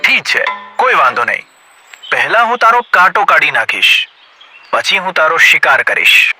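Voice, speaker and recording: A man plays a bullying lion king for a children's cartoon. The voice sits fairly high and swings widely in pitch, full of hungry menace and bluster, over a clear music bed. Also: very animated, moderate pace, pushed and bright, exaggerated voice. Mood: gruff impatience. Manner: threatening, blustering. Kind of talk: animated children's story voice acting.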